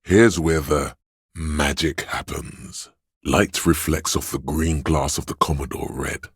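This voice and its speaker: A very deep, husky inner tempter. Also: male voice, steady tone, takes it slowly, dry recording, clean studio sound, heavily acted. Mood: savoring, seductive. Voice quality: gravelly, husky bass. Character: seductive inner voice of addiction, male, indulgent and coaxing. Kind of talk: dramatic video game narration.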